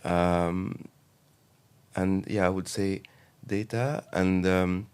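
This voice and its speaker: Very low-voiced man talking business. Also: steady tone, drawn-out words, a little mellow, clear, resonant tone. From Morocco. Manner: thoughtful, with many fillers and restarts. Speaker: investment advisor, podcast guest. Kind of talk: casual interview talk.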